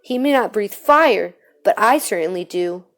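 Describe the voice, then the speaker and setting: A woman's voice: medium pitch, expressive, moderate pace, close to an everyday voice. Lady playing a dragon dad in a kids book, proud, hot headed, really insistent. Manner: emphatic, insistent. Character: proud, hot-tempered adult male father dragon.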